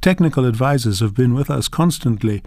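Man doing a higher-pitched old grandmother, quavering, shy but earnest, dry close sound. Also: expressive, a little fast, dark tone, no echo. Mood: shy, proud. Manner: earnest, listing things one by one. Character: shy elderly grandmother gardener, quavering then proud.

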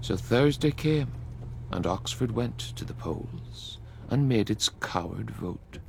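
A man giving a measured, declamatory reading of a long poem. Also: lowish voice, lively intonation, gravelly, darkish tone, slight background noise. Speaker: poetry reader. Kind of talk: dramatic poetry reading.

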